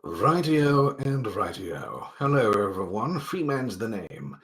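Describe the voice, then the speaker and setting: A man reading a story aloud as a voice actor, with a theatrical, expressive storytelling delivery. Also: fairly low pitch, slow, husky. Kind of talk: dramatic storytelling narration.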